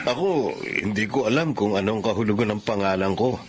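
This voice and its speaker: A man's voice: fairly low pitch, moderate pace, a little hiss or hum, lightly acted. A man voicing a polite young father who speaks respectfully to an elderly neighbor. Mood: curious. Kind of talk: radio drama acting.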